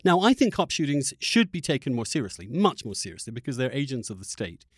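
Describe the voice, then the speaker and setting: A man discussing politics in an opinionated interview style, speaking haltingly and emphatically and often repeating phrases for weight. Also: mid-range voice, big pitch swings, slightly rough, no echo, clean studio sound. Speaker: podcast host.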